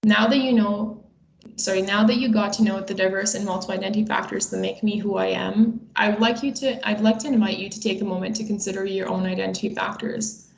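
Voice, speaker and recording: A woman in her twenties from Bosnia and Herzegovina giving a scripted webinar presentation in a measured, explanatory way, occasionally stumbling over a word. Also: medium pitch, fairly even intonation, creaky. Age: 20s. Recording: roomy, echoey sound.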